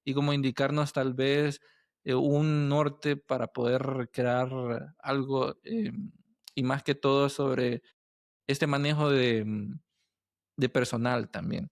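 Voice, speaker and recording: A man hosting a casual podcast interview, halting as he searches for words and often checking with tag questions. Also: medium pitch, flat delivery, no echo, studio quality.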